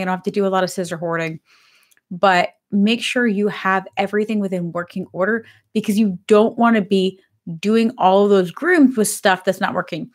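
A woman in her thirties hosting a live stream on pet grooming in a chatty, upbeat way, her voice slightly hoarse. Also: medium pitch. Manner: upbeat, chatty, says she is excited. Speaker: pet grooming business host. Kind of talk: casual live-stream talk. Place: home office with bookshelves, indoors.

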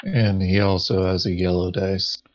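A man's voice: low voice, little pitch movement, moderate pace, lo-fi sound. A man chatting casually as a fellow board game player, giving brief, laid-back replies. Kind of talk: casual tabletop gameplay chat.

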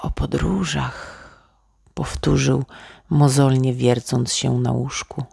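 A woman storyteller narrating a bedtime tale for children in a gentle, expressive way. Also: extremely low pitch, creaky, husky, dry recording, clean studio sound. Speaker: storyteller, author reading own tale.

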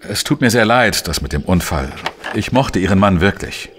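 An actor playing a dry, defiant investigative journalist in a radio play, with a sardonic, laconic manner. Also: male voice, low-pitched, lively intonation, normal speed, slight background noise. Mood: businesslike. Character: dry, defiant male investigative journalist, narrating his case. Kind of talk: radio play dialogue and first-person narration.

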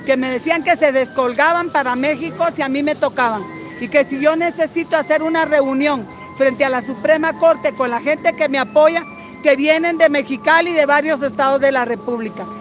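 A woman's voice: high-pitched, normal speed. An older woman from Guatemala speaking as a political activist in an impassioned, combative and salty-tongued street interview. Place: busy city street outdoors. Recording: outdoor street crowd noise, music behind.

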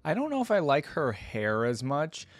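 A man's voice: on the high side, wildly swooping pitch, normal speed, no room sound, soft background music. A young man giving casual, rambling reaction commentary as a video host, close on a desk microphone. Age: young adult. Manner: rambling, with frequent "like" and "right?". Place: indoors at a desk, gaming setup.

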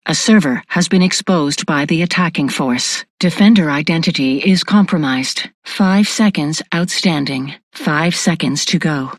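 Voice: medium pitch, dry recording, close to an everyday voice. A performer voicing an impersonal AI security announcer in a sci-fi video game, with formal, clipped delivery.

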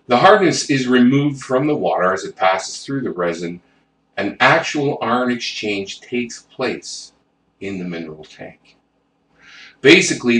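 A man's voice: fairly low pitch, a bit bright. A man narrating an instructional plumbing video, reading technical figures step by step with the odd self-correction. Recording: roomy, distant mic with faint noise.

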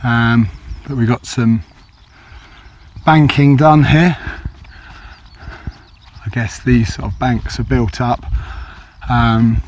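A man with a slightly croaky voice, chatting casually to a handheld camera while walking a grassy hillside, with plenty of ums and hesitations. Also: medium pitch, darkish tone, slight background noise. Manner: rambling, hesitant with many fillers. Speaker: walking vlogger. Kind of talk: casual hiking commentary to camera. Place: outdoors on open grassy hillside. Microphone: handheld camera held by the walker.

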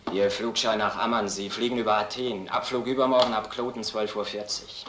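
An actor playing a dutiful police desk assistant with a clipped, official manner in a crime drama. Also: male voice, on the low side, fairly even intonation, a little fast, natural voice. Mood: businesslike. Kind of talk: German film crime drama acting. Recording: echoey, noisy old film soundtrack.

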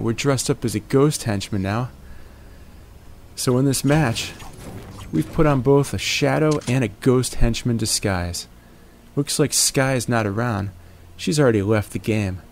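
A man's voice: lowish voice, darkish tone, a little hiss or hum. Playful man doing gameplay commentary. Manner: jokey morning-DJ banter, calls viewers "baby" and "my friends". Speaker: gaming commentator.

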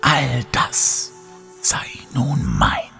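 A man voicing a siren's luring song in a soft whisper from a distance. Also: medium pitch, huge pitch swings, very slow, vocal fry, faint music behind, voice pushed up, full character voice. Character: luring sea siren, female, singing softly.

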